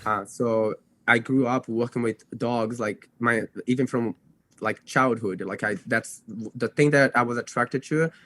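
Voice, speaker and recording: A man's voice: medium pitch, unhurried, bright, forceful tone. A young man from Brazil, a native Portuguese speaker, telling his own story casually as a podcast guest, rambling and often correcting himself. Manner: rambling, self-correcting, frequent "you know" and "like". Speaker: podcast guest, dog trainer.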